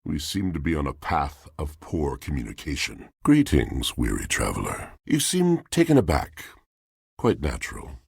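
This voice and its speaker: Very deep, gravelly, theatrical romantic machine. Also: male voice, huge pitch swings, normal speed, no room sound, studio quality, full character voice. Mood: stiff, offended, then grave, courtly. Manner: grandiloquent, poetic, melodramatic. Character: brooding, theatrical male washing machine, poetic romantic. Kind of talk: video game character acting.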